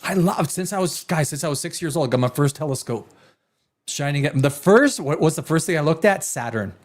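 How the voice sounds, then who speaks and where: Animated rambling man, lively pitch. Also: a little fast. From Canada. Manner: animated, rambling, restarts often, swings from loud to near whisper. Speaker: host. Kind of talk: casual talk show chat.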